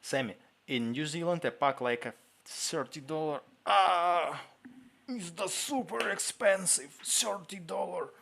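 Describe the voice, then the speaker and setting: A man with a Ukrainian accent, a native Ukrainian speaker, hosting a casual livestream Q&A, reading viewer questions aloud and answering in halting English. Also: a little high, vocal fry, a bit bright. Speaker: livestream host answering chat.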